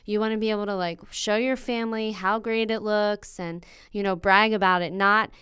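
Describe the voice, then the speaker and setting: A woman's voice: medium pitch, fairly even intonation, moderate pace, a bit bright, clean tone, no echo, clean studio sound. A young woman co-hosting a podcast in a casual, chatty style. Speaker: podcast co-host. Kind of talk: casual banter and interviewing.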